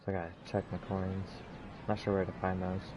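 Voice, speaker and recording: A man's voice: sits low, completely flat delivery, a little fast, highs cut off, noisy background. A man giving casual, offhand commentary as he plays a video game, muttering to himself. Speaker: gaming streamer.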